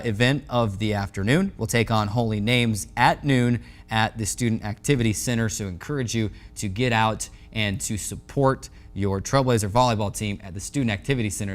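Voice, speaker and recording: Low-pitched young American man presenting sports. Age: young adult. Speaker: sports show host. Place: indoor TV studio at a desk.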